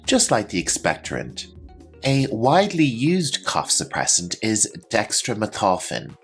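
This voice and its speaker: A man with a low voice narrates a scripted educational lesson. His pitch moves in a very lively way as he explains terms like a teacher. Music plays along with the voice. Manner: clear, instructional, explaining terms and definitions. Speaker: English teacher presenting a medical vocabulary lesson.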